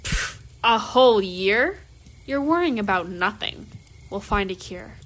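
A woman's voice: mid-range voice, animated, unhurried, rough recording. A woman playing a brash young werewolf in an audio drama, blunt, swaggering and sarcastic. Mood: dismissive.

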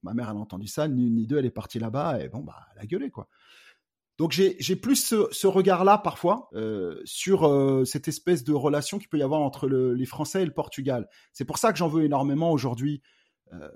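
A man giving a testimony, indignant and hesitant, with many fillers. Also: on the high side. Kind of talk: testimony interview.

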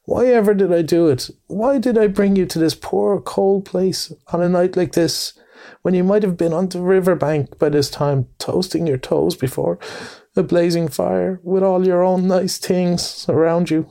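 A man's voice: sits high, fairly even intonation, normal speed, gravelly, warm tone, pitched above the performer's own voice. A man reading aloud voices a timid, gloomy male mole who moans in a plaintive, self-pitying way. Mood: dismal. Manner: dismal, moaning, plaintive. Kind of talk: storybook reading.

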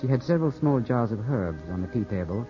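Man acting a proud young gentleman, dull old radio sound. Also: medium pitch, lively intonation, a little fast, natural voice. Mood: somber narrating. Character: jealous, hot-headed young English gentleman, brooding and proud. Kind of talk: 1950s radio drama acting and first-person narration. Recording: dull 1950s radio broadcast, music behind.

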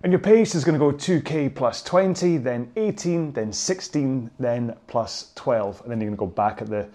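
A man coaching a rowing workout, calling out cues in an upbeat, jokey way, his voice slightly hoarse. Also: mid-range voice, normal speed, warm tone. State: a bit hoarse. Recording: music playing behind. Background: workout music.

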